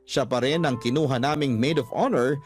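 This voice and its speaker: A man's voice: mid-range voice, brisk, a little mellow, soft background music. A man reading a first-person confessional letter as scripted radio-style storytelling.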